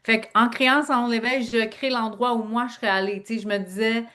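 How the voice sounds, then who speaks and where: A woman's voice: a little high, steady tone, fast talker, sharp tone. A middle-aged woman from Quebec with a Québécois French accent, a guest telling her life story earnestly in an interview and leaning on filler phrases. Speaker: guest, speaker and author. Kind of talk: personal interview talk.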